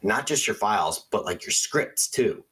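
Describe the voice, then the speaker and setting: An actor playing a quirky, excitable small-town paranormal radio host in a radio play, theatrical and earnest. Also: male voice, fairly low pitch, moderate pace, slightly rough. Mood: conspiratorial. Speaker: radio call-in show host.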